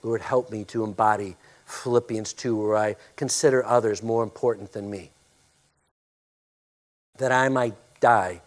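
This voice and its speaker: A male pastor preaching a sermon and praying, with a loud, forceful voice, emphatic when preaching and hushed in prayer. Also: fairly low pitch, steady tone, a little slow.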